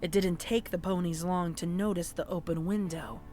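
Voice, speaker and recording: A woman's voice: on the low side, steady tone, moderate pace, warm tone, faint music behind. A woman narrating horror fiction in a scripted, dramatic storytelling style.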